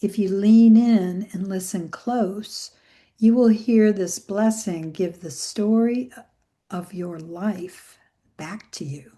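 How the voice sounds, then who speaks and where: Soothing lady doing guided meditation, really slow w long pauses, mellow soft voice, breathy at the ends. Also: mid-range voice. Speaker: meditation and yoga teacher leading a guided meditation.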